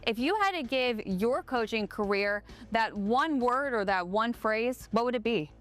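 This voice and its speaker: A woman interviewer putting scripted questions to a guest in a polite, measured way. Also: a little high, expressive, soft background music.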